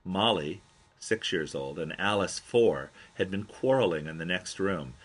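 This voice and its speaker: A man with a husky voice reading his own memoir aloud as an audiobook, telling it as an expressive storyteller. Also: low voice, unhurried. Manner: expressive storyteller, acts out quoted dialogue.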